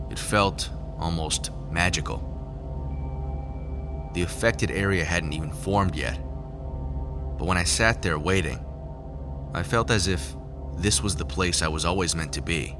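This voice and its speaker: A very low-pitched male scientist played by a male actor tells his story in a sci-fi audio drama. He is haunted and measured, brooding over each phrase, with music clearly playing underneath. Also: sharp tone. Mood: awed, absorbed. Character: haunted male lab scientist recounting secret experiments. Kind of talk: first-person scripted audio drama narration.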